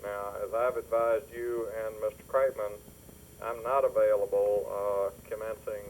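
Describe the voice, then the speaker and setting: A man speaking formally and haltingly on a business phone call, recorded over a telephone line. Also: lowish voice, flat delivery, a little hiss or hum. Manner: halting, formal, deliberate phrasing.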